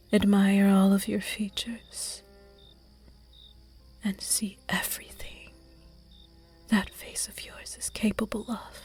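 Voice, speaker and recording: A flirty, predatory vampire, played by a woman whispers close in a breathy, dark hush. Each word comes out unhurried and teasing, with faint music underneath. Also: mid-range voice. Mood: enchanted. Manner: slow, teasing, hushed and intimate. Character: seductive predatory vampire, flirty noble demon. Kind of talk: whispered ASMR roleplay audio.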